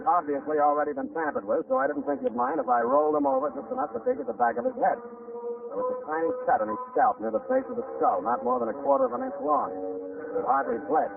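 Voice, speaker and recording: An American actor playing a flippant, world-weary private detective with sardonic, clipped wisecracks in 1940s radio drama style. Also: male voice, very high-pitched, creaky, distant mic, noisy background, full character voice. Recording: muffled, narrow-band old radio recording.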